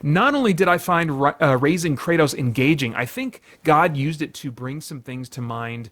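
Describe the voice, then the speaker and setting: A man with a moderately high-pitched voice hosts a review show. He talks in a chatty way, laying out his opinions and recommendations as a reviewer would. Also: animated, moderate pace, fairly bright tone. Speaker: podcast host and film reviewer.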